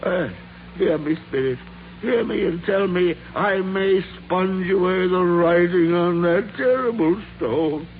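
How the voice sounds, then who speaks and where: Old repentant miser, highish voice, exclaims and stammers, crackly narrow old radio sound with music. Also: male voice. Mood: desperate, pleading. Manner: halting, pleading, exclamatory. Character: frightened, repentant old miser turned giddy with joy. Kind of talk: 1940s radio drama acting. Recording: tinny, band-limited 1940s radio recording.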